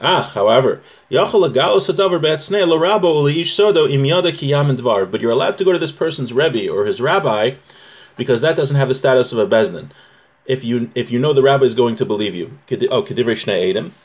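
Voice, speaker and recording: A man's voice: mid-range voice, lively intonation, fast talker. A man teaching an informal class on religious law, reading from a text and explaining it point by point, repeating himself and checking that listeners follow.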